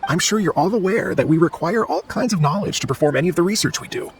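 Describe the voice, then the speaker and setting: A man's voice: fairly high pitch, animated, fast, noisy background, close to an everyday voice. An actor playing a nervous, chatty, hesitant young male researcher in a video game. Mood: candid.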